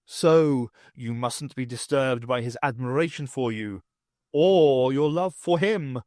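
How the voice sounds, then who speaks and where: A man playing a pompous, jovial fairytale king with a booming, genial and self-satisfied manner in a fan audiobook. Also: slow pace, darkish tone, dry recording, studio quality, lightly acted.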